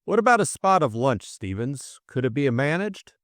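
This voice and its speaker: A man voicing a breezy, excitable young English gentleman sleuth in an audiobook, full of upper-class slang and exclamations. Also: mid-range voice, normal speed, dry recording, studio quality, pitched above the performer's own voice.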